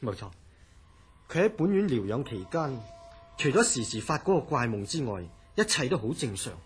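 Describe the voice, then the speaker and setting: An actor playing a grave, courteous mental hospital director in a Cantonese horror radio drama, speaking deliberately and probingly in a husky voice with a Hong Kong accent. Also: male voice, medium pitch, very animated, faint music behind, close to an everyday voice.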